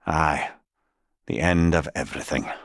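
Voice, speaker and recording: A man's voice: a bass voice, steady tone, a little slow, dry recording, studio quality. An actor playing a wise, witty old Scottish man, an avuncular storytelling companion in a video game, speaking in an articulate, wry way. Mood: grave storytelling. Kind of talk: scripted video game voice lines.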